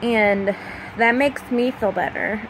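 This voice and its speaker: Young woman, 25, high voice, slow halting emotional confession to a close phone, faint fan hum behind. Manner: emotional, halting, with many pauses and fillers. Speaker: vlogger. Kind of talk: confessional personal vlog. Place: small room at home at night, fan running. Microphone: phone held close to the face.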